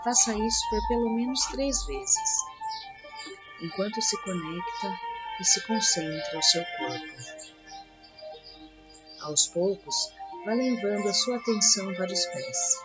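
A Brazilian woman narrating a scripted guided meditation as the guide, with slow, soothing, instructive phrasing. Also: mid-range voice, animated. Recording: dull lo-fi audio under loud music. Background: loud music with birdsong.

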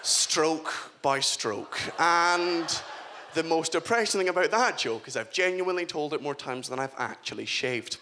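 A man's voice: on the high side, measured pace, background noise. A 21-year-old man doing a stand-up set, delivering dry, self-deprecating punchlines on stage. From Scotland. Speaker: stand-up comedian. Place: comedy stage before a live audience.

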